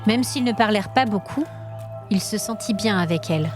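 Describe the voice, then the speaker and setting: A woman storyteller reading scripted dramatic narration in an expressive, suspenseful manner. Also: medium pitch, normal speed, music in the background.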